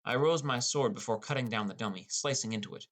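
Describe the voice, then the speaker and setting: A man's voice: fast talker, a bit bright. A man reading a first-person fantasy story as an audiobook narrator. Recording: rumbly background noise.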